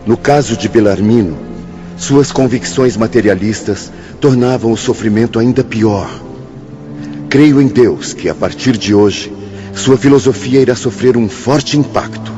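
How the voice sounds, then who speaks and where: An actor playing a calm, formal male spirit instructor, speaking didactically in a radio drama. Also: mid-range voice, a little slow, lightly acted. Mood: solemn, didactic. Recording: muffled audio with music underneath.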